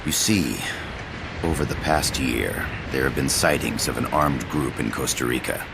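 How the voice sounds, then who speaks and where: Older professor, extremely deep, creaky. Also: male voice, noisy background. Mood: grave, polite. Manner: formal, measured, earnest. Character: courteous, grave older male Costa Rican professor, pleading. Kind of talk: dramatic game cutscene acting. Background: loud dramatic music.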